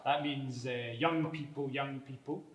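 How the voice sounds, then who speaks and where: A man acting in a naturalistic drama as an exuberant character who reminisces haltingly. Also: medium pitch, steady tone, lightly acted. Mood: wistful, amused. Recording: echoey room.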